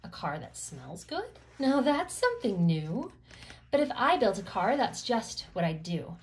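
A woman's voice: mid-range voice, huge pitch swings, a little slow. A woman host reads a children's picture book aloud, following the rhyme with rhythmic, emphatic phrasing. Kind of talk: expressive rhyming storybook read-aloud for children.

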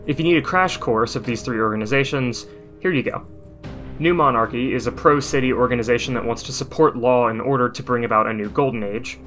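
A man's voice: medium pitch, brisk, fairly bright tone. A man narrates game lore as a video creator, in a mid-range voice. The delivery is scripted commentary, with music playing underneath.

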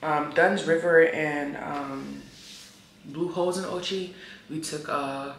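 A woman in her thirties chatting casually to viewers in a solo travel vlog, animated and slangy, with loud bursts of laughter, filmed in a hotel room. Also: low voice, takes it slowly, echoey room, a little hiss or hum, rough recording. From Haitian heritage. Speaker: travel vlogger. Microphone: camera on tripod, some handheld selfie shots.

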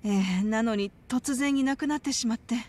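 Actress doing worried middle aged lady who works at an orphanage, polite n formal, highish voice, game cutscene. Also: fairly quick, a little mellow, lightly acted. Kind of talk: video game cutscene dialogue.

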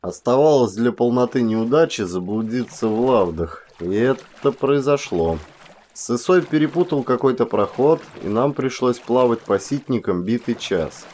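A man, an actor narrating literary prose for an audiobook in an expressive style. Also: medium pitch, normal speed, slight background noise.

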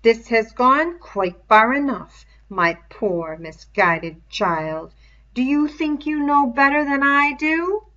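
A woman voicing a menacing, fly-covered pig's head that taunts a boy slowly and mockingly like a stern schoolmaster, on a dull lo-fi recording. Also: sits high, expressive, pushed and bright, voice pushed up, heavily acted. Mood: scolding.

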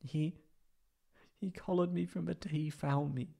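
A man voicing a wounded, anxious young catboy in an emotional ASMR roleplay, halting and stammering tearfully. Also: a little high, fairly even intonation, gravelly, dark tone. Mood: tearful, hesitant.

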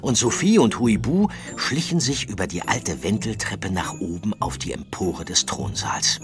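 A storybook narrator for a children's radio play. His voice is low and very animated, with faint music behind it. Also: moderate pace. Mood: storytelling. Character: storybook narrator telling a children's ghost tale. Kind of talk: scripted narration.

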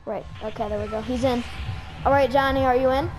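A woman giving casual gameplay commentary as a host, in an excitable, giggly manner. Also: on the high side, brisk, music in the background. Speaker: host, gaming YouTuber.

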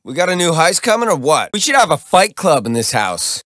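An actor playing a casual, slangy, thrill-seeking criminal brawler in a video game. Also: male voice, on the high side, big pitch swings, brisk, a bit bright, dry recording, clean studio sound. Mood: eager, casual. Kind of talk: video game character lines.

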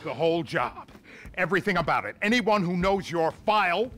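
An exasperated agent with a mid-pitched, animated voice. He scolds in sharp bursts. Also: normal speed. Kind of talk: video game cutscene acting.

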